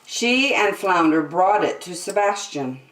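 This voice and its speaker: A middle-aged woman reading a picture book aloud expressively, as a children's story time host. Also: on the low side. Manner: animated, deliberate. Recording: slightly echoey room.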